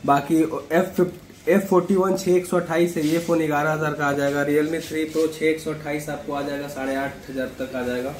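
A man gives a matter-of-fact sales pitch as a shop seller, listing products and mixing in English words. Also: fairly even intonation, a little fast. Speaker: second-hand phone shop seller. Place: small indoor phone shop. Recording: echoey room, clear background noise.

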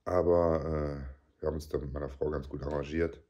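A man in his forties with a cold, talking casually and excitably into a phone camera held at arm's length. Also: very deep voice, fairly quick, lo-fi sound. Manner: chatty, excitable, swears casually. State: has a cold. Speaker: vlogger. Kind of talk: casual vlog. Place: living room, then car park and car interior.

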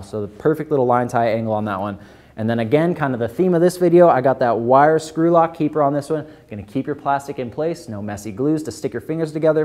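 A young man talks through new fishing gear with steady enthusiasm and a salesy lilt, stringing items together with casual fillers. He speaks at a middling pitch on a close lapel mic inside a tackle store. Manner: enthusiastic, salesy, quick filler-laden delivery. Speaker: tackle shop product presenter. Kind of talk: upbeat product rundown. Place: indoor tackle store aisle with a wall of lures.

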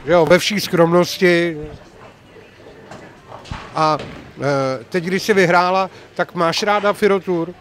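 A man's voice: high-pitched, steady tone, long pauses, a bit bright. An older man hosting a bowling tournament, chatting and teasing as he reports and interviews players with a handheld mic in a large bowling alley. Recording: faint hall noise.

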